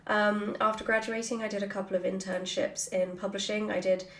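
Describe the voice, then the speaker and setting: A woman chatting casually and answering questions in an animated, giggly, self-deprecating way, as a writer hosting a Q&A. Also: mid-range voice, brisk.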